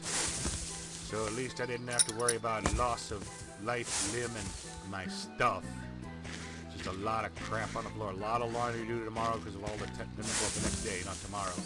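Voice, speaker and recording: A man doing casual, rambling gameplay commentary as a let's play host, often cutting himself off. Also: on the low side. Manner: rambling, self-interrupting. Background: loud game music.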